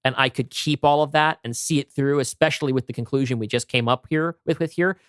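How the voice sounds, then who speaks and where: A man's voice: mid-range voice, a little fast, clear, resonant tone, no echo, clean studio sound. A man co-hosting a podcast in casual creative discussion, emphatic and often restarting his sentences. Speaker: podcast co-host.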